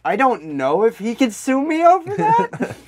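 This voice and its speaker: Animated, rambling male podcast host. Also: very high-pitched, moderate pace, dry recording. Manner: rambling, profane, laughs while talking. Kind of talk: casual comedic chat.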